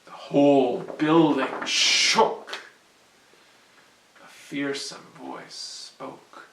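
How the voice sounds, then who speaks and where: Very slow, suspenseful male storyteller. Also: medium pitch, steady tone, gravelly, slight background noise. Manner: hushed, suspenseful, with sudden swells. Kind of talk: dramatic children's story reading. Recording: roomy, echoing, distant mic.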